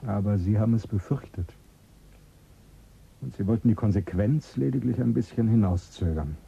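A man's voice: lowish voice, normal speed, gravelly, mellow, husky, highs cut off, heavily acted. An actor playing a skeptical, probing male official in a German radio drama.

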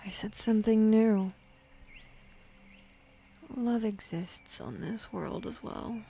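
Woman playing a slow, soft-spoken bored demoness. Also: on the high side, full character voice. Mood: bored, teasing. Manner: soft, often breathy and half-whispered, dismissive sighs. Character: bossy, jaded, bored female demon, secretly curious. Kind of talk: ASMR roleplay.

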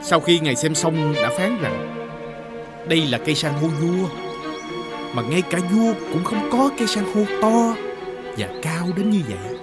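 An actor with a Southern Vietnamese accent playing a smug, bragging rich duke in a fairy-tale costume film. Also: male voice, a little high, expressive, measured pace, over loud music. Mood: boastful. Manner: bragging, showy. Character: smug, boastful rich male duke, former merchant.

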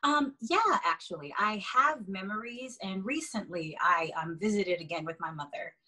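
A woman's voice: mid-range voice, expressive, moderate pace, pushed and bright, low-quality recording. A young woman from Haiti, a stage actor, chatting as a guest over a video call from a bedroom, with frequent fillers and laughs. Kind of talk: casual video-call interview. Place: bedroom indoors.

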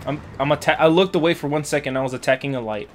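Higher-pitched man casually commentating on a game. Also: racing. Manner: slangy, profane, joking. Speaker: gaming streamer.

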